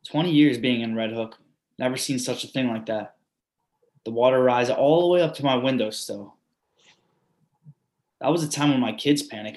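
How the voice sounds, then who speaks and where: A man reading a dramatic monologue, telling in an urgent, repetitive way how floodwater trapped his family. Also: mid-range voice. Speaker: reader performing a monologue. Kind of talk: readers theatre monologue.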